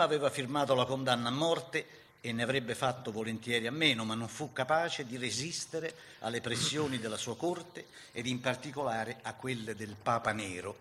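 Bright-voiced man narrating history, slight noise. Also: mid-range voice. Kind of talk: scripted historical narration for radio theatre.

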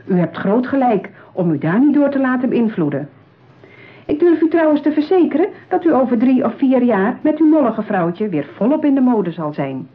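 An actress playing a smooth, patronising saleswoman in a radio play, with polished, persuasive patter. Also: a little high, sing-song, moderate pace. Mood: reassuring, persuasive. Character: smooth, patronising, eager saleswoman at a matchmaking agency. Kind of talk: radio drama acting. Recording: tinny, narrow-band old radio play.

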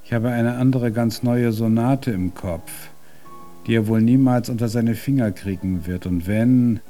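An actor in a German radio drama playing an irascible, haughty, hard-of-hearing old composer with a husky voice and pompous, ornate speech. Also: male voice, medium pitch, unhurried, mellow, soft background music, natural voice. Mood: boastful, scornful.

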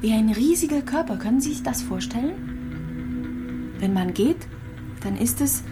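An actress playing a gravely ill, resigned immigrant woman in a radio drama, speaking simply and dreamily. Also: a little high, lively intonation, moderate pace, music in the background, close to an everyday voice. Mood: quiet wonder. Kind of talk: radio drama dialogue and monologue.

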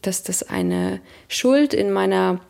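A woman's voice: medium pitch, huge pitch swings, takes it slowly, vocal fry, darkish tone. A woman around thirty gives a reflective personal account in an interview, thoughtfully searching for her words. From Germany. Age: 30s.

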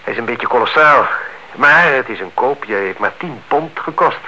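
An actor playing a courteous, evasive married neighbour in a Dutch radio play, smooth-talking and guarded. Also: male voice, medium pitch, animated, normal speed, bright, forceful tone. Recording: dull, noisy old radio recording.

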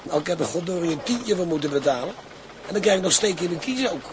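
Salty, higher-voiced ship's engineer. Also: male voice, lively intonation, quick pace. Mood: grumbling. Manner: colloquial, salty sailor talk. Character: gruff, fatherly ship's engineer, salty and protective. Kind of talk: radio drama acting. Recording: noisy outdoor drama scene.

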